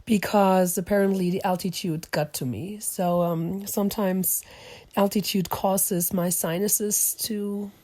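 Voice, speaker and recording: A hoarse woman hosting a casual podcast interview, chatty and laughing often, whose first language is German. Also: medium pitch, fairly even intonation, a little slow, mellow. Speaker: podcast host. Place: lodging in a small mountain town.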